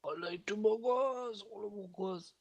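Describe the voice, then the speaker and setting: A man's voice: sits high, unhurried, gravelly, darkish tone. A man talking casually and teasingly with teammates during an online game. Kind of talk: casual gaming chat.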